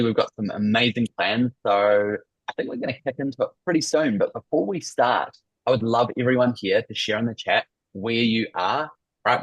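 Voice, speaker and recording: Middle-aged New Zealand man, lowish voice, enthusiastic online workshop host. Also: no echo, muffled recording. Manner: upbeat, effusive welcomes. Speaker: business coach and workshop host. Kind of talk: live online workshop hosting. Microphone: video call.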